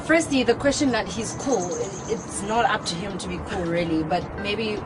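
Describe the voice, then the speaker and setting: A woman's voice: medium pitch, normal speed, rough recording. A young South African woman, a radio presenter, chatting candidly and emphatically as an interview guest. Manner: lively, candid, laughing, emphatic bursts. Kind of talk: casual interview chat. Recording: loud music bed under voices. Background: loud music.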